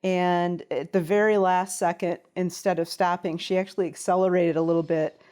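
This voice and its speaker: A woman's voice: on the low side, steady tone, normal speed, a little mellow, clean tone. A woman guest on a talk show, telling a personal story in an interview.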